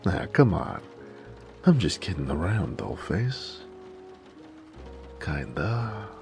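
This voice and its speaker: Man playing a very deep, gravelly noir mobster. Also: sing-song, slow, full character voice. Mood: playful, coy. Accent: American. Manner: teasing, slangy tough-guy drawl. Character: flirty, cocky noir mafia wheelman and former cabbie. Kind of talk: romantic noir audio roleplay, intimate one-sided dialogue. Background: music.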